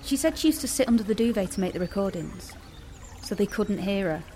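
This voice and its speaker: A young British actress playing an anxious, stubborn woman with an urgent, halting manner in audio drama dialogue. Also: medium pitch, animated, dark tone, slight background noise, close to an everyday voice. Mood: explaining, earnest.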